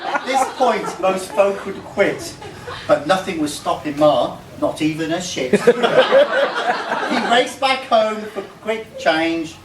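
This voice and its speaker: A man reading a comic rhyming poem aloud to a gathering, in measured lines. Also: sits high, expressive. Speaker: reader of a birthday tribute poem. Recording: roomy, noisy live recording from far off.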